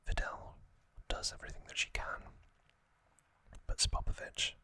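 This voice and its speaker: A man whispering softly into a close microphone for an ASMR recap, his airy, crisp-edged whisper never rising to full voice. He takes his time, drifting slowly through each phrase with gentle pauses and little hesitations. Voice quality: soft unvoiced whisper throughout. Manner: gentle, unhurried, with frequent soft hesitations. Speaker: ASMR channel host.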